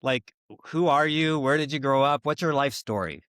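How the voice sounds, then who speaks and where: Soft-spoken male podcast host. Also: mid-range voice, moderate pace, clean tone, dry recording, clean studio sound. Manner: brief affirmations, soft-spoken questions. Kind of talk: interview questions.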